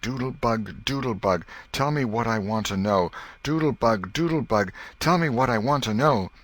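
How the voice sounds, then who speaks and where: A man's voice: mid-range voice, expressive, moderate pace, fairly bright tone, voice pushed up. A man voicing an imaginative, bossy schoolboy in a storybook, declaiming like an outlaw hero in rustic boyish dialect. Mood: chanting, coaxing.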